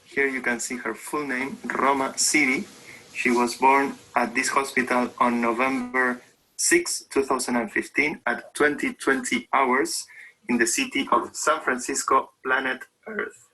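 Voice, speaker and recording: Bright-voiced man. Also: mid-range voice, level tone, unhurried, poor audio. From Argentina. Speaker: father presenting a video. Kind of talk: home video narration.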